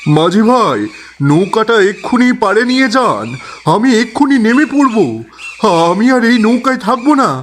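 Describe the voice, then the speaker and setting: A man's voice: extremely high pitch, animated, normal speed, a bit bright, slight background noise. A man acting an anxious, pleading male boat passenger in a horror audio story. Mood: panicked, pleading. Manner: urgent, pleading. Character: anxious male passenger fleeing ghosts with a corpse.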